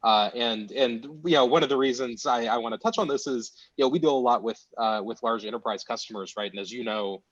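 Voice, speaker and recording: A man hosting an interview, asking long questions with hesitant pauses and restarts. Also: medium pitch, a bit bright, no echo. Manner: hesitant, many "uh" and "you know" restarts. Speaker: interview host. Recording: dull dry audio.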